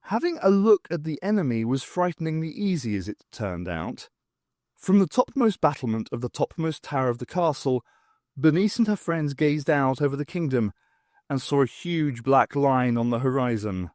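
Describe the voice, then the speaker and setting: A man narrating a comic fantasy audiobook in a wry, theatrical way with deliberate pauses. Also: mid-range voice, lively intonation, a little mellow, no echo, clean studio sound.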